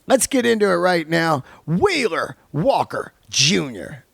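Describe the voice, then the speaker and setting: A man hosting a podcast in an unscripted, excitable and effusive way, with slangy, sweary talk. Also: high-pitched, unhurried, creaky, over loud music. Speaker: podcast host and comedian. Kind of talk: unscripted podcast intro and chat.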